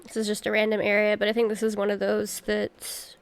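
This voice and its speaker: A woman's voice: mid-range voice, monotone, brisk, creaky. A young adult woman giving casual commentary as she streams a video game playthrough.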